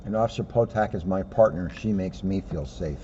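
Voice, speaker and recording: A man talks casually, explaining things as a police officer. His voice is fairly low and very soft-edged and mellow. The sound is somewhat muffled, with faint noise. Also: a little fast. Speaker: police officer commenting during a read-aloud.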